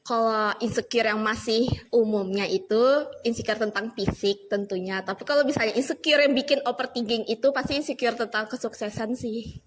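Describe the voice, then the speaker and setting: A woman's voice: fairly high pitch, moderate pace, slight background noise. A nineteen-year-old woman giving a casual answer in an interview.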